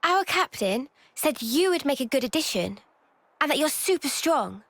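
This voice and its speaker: A woman's voice: high voice, big pitch swings, moderate pace, fairly bright tone, no room sound, heavily acted. A woman with a British accent playing a keen, earnest young female soldier in a video game cutscene. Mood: tentative, earnest.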